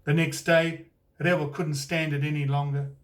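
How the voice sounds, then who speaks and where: A man with a New Zealand accent reads a picture book aloud with an unhurried, expressive delivery. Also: a little high, far from the mic. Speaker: author and storyteller. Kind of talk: scripted picture-book narration.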